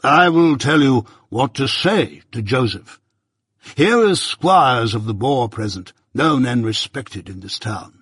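A man voicing a pompous, self-righteous older merchant who lectures slowly and loudly in a raised, husky voice. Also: mid-range voice, lively intonation, no echo, clean studio sound, exaggerated voice. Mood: lecturing. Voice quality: pompous, preaching, drawn-out emphasis.

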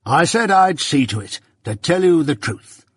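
A man's voice: a little high, lively intonation, moderate pace, breathy edge, no echo, clean studio sound, voice pushed up. A man voicing a pompous, self-satisfied older uncle, a patronizing seed merchant in a storybook. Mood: officious, self-important.

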